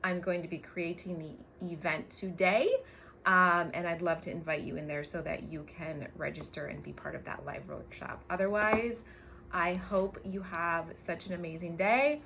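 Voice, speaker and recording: A woman's voice: lowish voice, fairly even intonation, moderate pace, gravelly, pushed and bright, a little hiss or hum. A woman coach giving an emphatic motivational livestream talk, swearing casually and often checking in with short tag questions. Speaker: brand coach and business co-owner.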